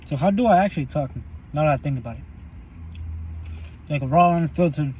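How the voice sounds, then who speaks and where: Young male vlogger, slightly high voice, loose and profane, eating in a parked car with faint traffic behind him. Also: a little fast, mellow, low-quality recording. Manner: loose, rambling and profane while chewing. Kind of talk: casual eating vlog monologue.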